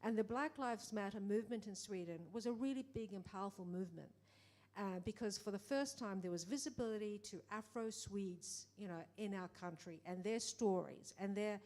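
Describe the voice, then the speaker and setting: Animated woman producer telling a story. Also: mid-range voice. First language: English. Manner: animated, acts out quoted dialogue, many restarts. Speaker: film producer. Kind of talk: panel conversation.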